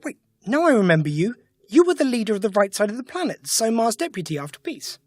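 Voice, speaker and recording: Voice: medium pitch, very animated, fast, darkish tone, dry recording, clean studio sound, recorded from a distance. An actor playing a quirky, wry time-travelling alien adventurer in an audio drama, chatty and given to grumbling. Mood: chatty, inquisitive.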